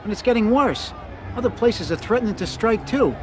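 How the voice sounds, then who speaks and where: Higher-pitched mob underling, busy background. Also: male voice, expressive, brisk, a crowd in the background. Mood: matter-of-fact. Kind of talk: video game cutscene acting.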